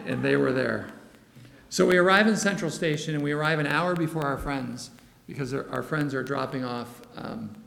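A man giving a lively mission report in church, acting out the voices of the people in his story. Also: fairly high pitch, vocal fry. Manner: animated storytelling, acts out quoted dialogue. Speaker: missionary speaker. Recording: echoey hall, long reverb.

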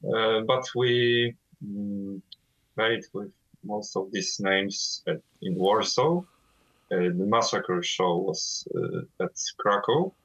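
A man from Poland with a Polish accent, guest vocalist of a death metal band, speaking halting non-native English with many fillers over a call. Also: on the low side, fairly bright tone, highs cut off.

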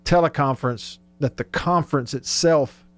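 A man's voice: fairly high pitch, moderate pace. A man hosting sports talk radio in a casual, conversational, informal way.

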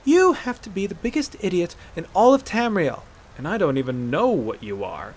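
A man's voice: mid-range voice, sing-song, a little fast, creaky, slightly rough, exaggerated voice. A man voicing a fierce, brash, exasperated werewolf huntress in a dramatic fantasy story, given to swearing and stammering outbursts. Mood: furious, goading. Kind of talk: dramatic fantasy audiobook character voice.

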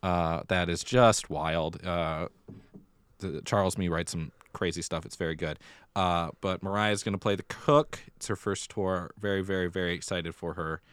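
Low-voiced American man, smooth and dry-humored. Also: fairly even intonation, moderate pace, a bit bright, no echo. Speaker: podcast co-host. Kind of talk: casual theatre news chat.

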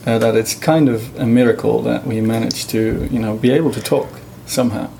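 Gravelly Dutch man explaining his research. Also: mid-range voice, fairly even intonation, a little fast, mellow, slight background noise. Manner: thoughtful, many fillers, demonstrates sounds. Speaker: linguistics researcher. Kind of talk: explanatory interview talk.

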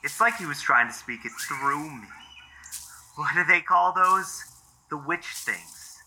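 Anxious, swooping-voiced young boyfriend. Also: male voice, a little high, expressive, a little slow, sharp tone, slight background noise, heavily acted. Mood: uneasy. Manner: stammering, profane, agitated. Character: anxious, exasperated boyfriend searching for his girlfriend. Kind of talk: found-footage audio drama acting.

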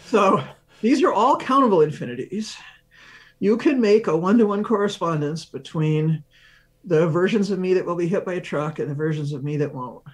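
A man's voice: sits high, moderate pace. A man speaking as an expert interview guest, explaining science step by step, thinking aloud and answering his own questions with examples. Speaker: research professor, interview guest.

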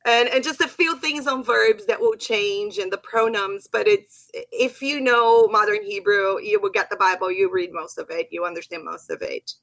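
A woman's voice: medium pitch, moderate pace, few pauses, a bit bright. A woman whose first language is Portuguese, a podcast guest and Hebrew teacher, telling stories animatedly in casual conversation.

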